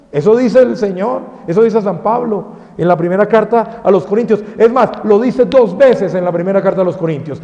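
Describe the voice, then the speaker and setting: Male priest, lively preaching, higher voice with a mellow tone, dull lo-fi recording in a reverberant hall. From Colombia. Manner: animated preaching with rhetorical questions. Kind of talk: sermon. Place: parish hall.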